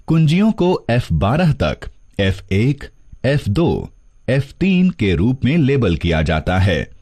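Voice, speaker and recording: A man reading scripted instructional narration, explaining things step by step in a measured teaching manner. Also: medium pitch, warm tone. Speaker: narrator of a computer course.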